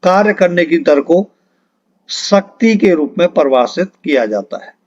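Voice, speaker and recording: A man's voice: fairly high pitch, animated, measured pace, a little mellow, dull audio. A man teaching an exam-preparation lesson step by step, explaining patiently and repeating key terms as he works through questions.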